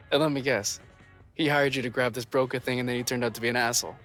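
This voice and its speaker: A man's voice: medium pitch, fairly even intonation, quick pace, fairly bright tone, faint music behind, lightly acted. An actor playing a stubborn, earnest young man in a video game, speaking casually and matter-of-factly. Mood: casual, determined. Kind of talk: video game dramatic acting.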